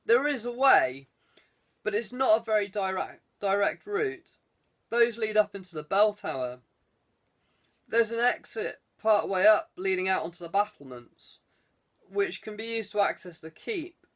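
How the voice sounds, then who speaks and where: A man's voice: sits high, lively intonation, unhurried, fairly bright tone, voice pushed up. A man voicing a fussy, protective castle guide who explains things cautiously in an audiobook adventure. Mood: explanatory.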